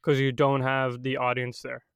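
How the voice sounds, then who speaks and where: A man's voice: medium pitch, monotone, normal speed, a bit bright, clean tone, dry recording, studio quality. A young man co-hosting a podcast, blunt and teasing in casual chat. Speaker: podcast co-host.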